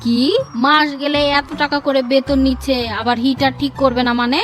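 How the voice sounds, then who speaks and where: A woman playing a poor, exasperated village mother in an animated story, speaking earnestly. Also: high-pitched, a little fast, faint music behind, close to an everyday voice.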